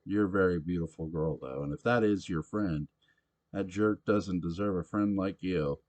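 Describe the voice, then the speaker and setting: Drunk, pushy middle-aged bar regular, a man reading the part, loud and gruff in a storybook reading. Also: low-pitched, normal speed, natural voice. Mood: belligerent.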